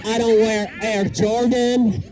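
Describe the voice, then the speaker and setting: A middle-aged man from Iraq doing a self-deprecating stand-up set with deadpan punchlines, outdoors in a park. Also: very high voice, slow pace, crowd noise. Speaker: stand-up comedian. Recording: outdoor noise and passing traffic. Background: park ambience and vehicles.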